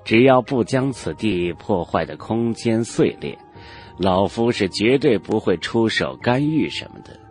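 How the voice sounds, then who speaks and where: A man voicing an old male cultivator elder in a fantasy audiobook, haughty and knowing, with measured, condescending, old-fashioned speech. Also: lowish voice, lively intonation, faint music behind. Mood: aloof, musing. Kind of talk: Chinese fantasy audiobook character acting.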